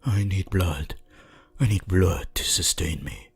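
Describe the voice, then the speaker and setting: A man playing a weakened, bloodthirsty vampire in an ASMR roleplay, pleading and menacing in a theatrical, archaic way, with a husky voice that often drops to a whisper. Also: sits low, soft background music, exaggerated voice. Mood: weak pleading. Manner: slow, halting, archaic and dramatic delivery.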